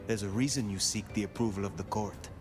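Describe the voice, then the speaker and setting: An actor playing a composed elder vampire sheriff in a video game, formal, measured and probing. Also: male voice, fairly low pitch, steady tone, music in the background, natural voice. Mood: stern. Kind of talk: video game dialogue acting.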